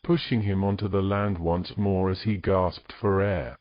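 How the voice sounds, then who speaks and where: A man's voice: deep voice, steady tone, measured pace, no room sound, muffled recording. A man narrating a scripted fantasy story in a dramatic storytelling style, close on the microphone.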